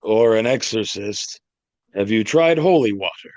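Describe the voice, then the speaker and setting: An actor playing a patient, kindly priest with dry, deadpan humor in an audio play. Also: male voice, on the low side, unhurried, dry recording. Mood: dry, gentle.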